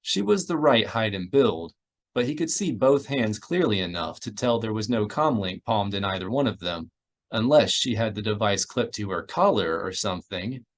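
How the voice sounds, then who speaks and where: A man's voice: on the low side, animated, normal speed. A man reading a science fiction novel aloud as scripted narration. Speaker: audiobook narrator. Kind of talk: scripted narration of a sci-fi novel, with character voices.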